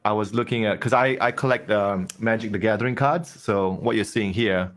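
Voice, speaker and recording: Malaysian man, low-pitched, easygoing guest on a video call, laughs often. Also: fairly quick. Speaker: guest collector. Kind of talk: casual video call chat.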